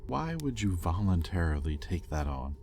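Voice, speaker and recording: Man acting very deep, brooding demon. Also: sing-song, moderate pace, vocal fry, slight background noise, full character voice. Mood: stern, probing. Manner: brooding, intense, swinging between hushed confession and heated accusation. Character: bitter, conflicted male demon, possessive owner of a captive angel. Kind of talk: scripted roleplay audio acting, addressed to the listener.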